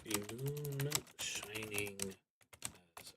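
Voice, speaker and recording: A man streaming a solo roleplaying game, reading prompts aloud and thinking out loud in a deliberate, halting way that trails off into muttering. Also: on the low side, noisy background.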